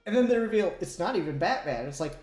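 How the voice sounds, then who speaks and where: A man's voice: high-pitched, animated, quick pace, darkish tone, reverberant. A man talking casually and informally in a podcast discussion, swearing freely. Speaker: podcast host.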